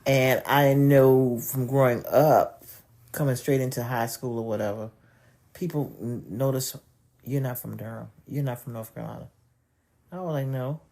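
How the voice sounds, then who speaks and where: Deep mellow-voiced woman, laid-back co-host. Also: fairly even intonation, moderate pace, vocal fry. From New York. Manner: laid-back, slips into street slang. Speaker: podcast co-host. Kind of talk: casual chat.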